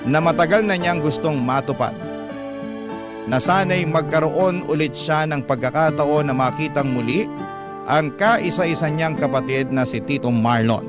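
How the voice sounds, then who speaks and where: A man hosting a radio programme, reading a scripted emotional story with measured, dramatic phrasing. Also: medium pitch. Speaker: radio host and narrator. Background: music.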